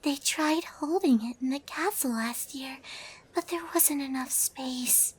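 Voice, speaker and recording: A woman voicing a shy, gentle young female cartoon pony with a soft, breathy, hesitant voice in a story reading. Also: high voice, pitched above the performer's own voice, low-quality recording. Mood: shy, informative. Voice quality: soft, breathy, near whisper. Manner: timid, hesitant, halting.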